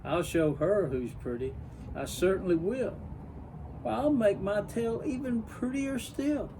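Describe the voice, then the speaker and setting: A man voicing a jealous, vain young female bird from a storybook, excitable and whiny in exclaimed rhymes. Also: high-pitched, measured pace, background noise, pitched above the performer's own voice. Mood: gleeful boasting. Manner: exclaiming, boastful, whiny rhyming speech. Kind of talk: storybook character voice.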